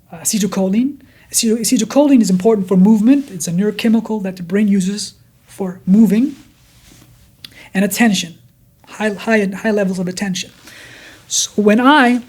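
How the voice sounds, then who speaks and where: A man's voice: high voice, darkish tone. Kurdish-Danish accented man explaining science. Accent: blended Danish and Kurdish. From Denmark, Kurdish from Iraq. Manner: repeats words and restarts often while explaining. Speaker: neuroscientist guest. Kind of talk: explanatory interview talk.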